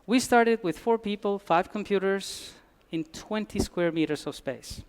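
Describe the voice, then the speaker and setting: A man's voice: high voice, a little slow, clear, resonant tone. A man from Albania, giving a conference talk with dry humor and frequent restarts. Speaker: conference speaker. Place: conference hall.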